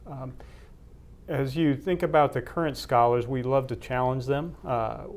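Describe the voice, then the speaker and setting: A man's voice: medium pitch, fairly even intonation, measured pace. A man conducting a formal interview, asking polite questions with frequent hesitations. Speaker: interviewer, university leader.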